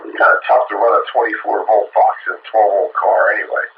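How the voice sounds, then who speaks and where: A man's voice: lowish voice, little pitch movement, quick pace. A man chatting casually over a two-way CB radio, explaining technical matters with hesitant phrasing. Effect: two-way radio transmission. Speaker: radio hobbyist. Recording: dull, noisy CB radio reception. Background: radio static.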